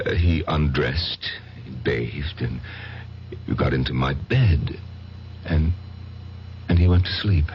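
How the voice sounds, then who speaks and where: An actor in an old-time radio drama playing a haunted, guilt-ridden man, confessing intensely with halting dramatic pauses. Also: extremely low pitch, a little hiss or hum, heavily acted. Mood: anxious confession. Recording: muffled vintage radio recording.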